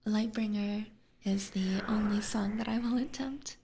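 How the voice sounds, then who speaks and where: A woman speaks slowly and softly into a close ASMR microphone, often slipping into a breathy whisper. She chats casually to her livestream viewers in a gentle, unhurried way, with clear noise in the recording. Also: medium pitch, fairly even intonation. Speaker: livestream host. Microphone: close binaural ASMR microphone.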